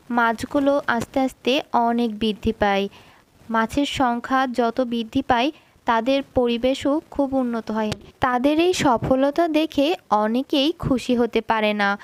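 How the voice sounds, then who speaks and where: Female storyteller for animated folk tales, slightly high voice, dry sound. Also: dry recording. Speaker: story narrator. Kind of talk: scripted cartoon fairy-tale narration.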